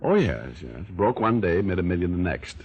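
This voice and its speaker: An actor playing a flippant American private detective in 1940s radio style, with glib, deadpan quips. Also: male voice, low voice, moderate pace, breathy edge, highs cut off, full character voice. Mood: flippant, teasing.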